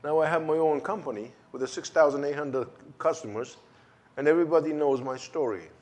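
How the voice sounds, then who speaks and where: A man's voice: on the high side, fairly quick, a little mellow. Fervent man with a German-Turkish accent. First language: not English. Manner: emphatic, fervent storytelling with acted-out dialogue. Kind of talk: personal testimony.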